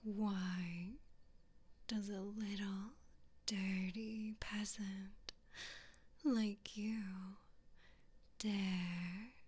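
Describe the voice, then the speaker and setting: A cruel, disdainful queen acted out by a woman for an ASMR roleplay. She speaks in a breathy whisper and draws out her phrases extremely slowly. Her manner is imperious and condescending throughout. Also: mid-range voice, steady tone, darkish tone, dry recording. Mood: condescending, demanding. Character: haughty, cruel evil queen, disdainful of peasants.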